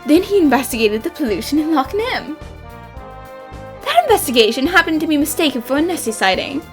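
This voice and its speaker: A woman playing a confident young female detective in an animated fan dub, explaining a case with theatrical assurance. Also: high-pitched.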